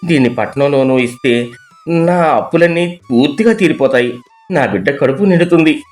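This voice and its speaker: A man playing a poor, kind-hearted fisherman and father, speaking with earnest emotion in an animated moral story. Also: fairly high pitch, normal speed, faint music behind, natural voice. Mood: amazed.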